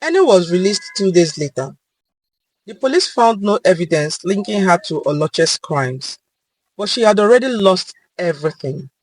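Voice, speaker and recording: A woman narrating a scripted story in a dramatic, deliberate, expressive way. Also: lowish voice, slightly rough, soft background music, poor audio.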